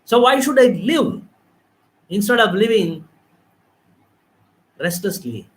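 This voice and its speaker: A man's voice: high voice, lively intonation, slow. A man voicing Narada, a man lamenting the loss of his wife and children and pleading for help. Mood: despairing. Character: grieving man who lost his family, despairing.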